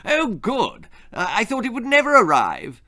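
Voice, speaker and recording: A man's voice: very high voice, wildly swooping pitch, moderate pace, sharp tone, voice pushed up, exaggerated voice. A man voicing a proud, eccentric storybook father with an English accent, blustering and callously cheerful. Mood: excited. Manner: blustering, stammering eagerness.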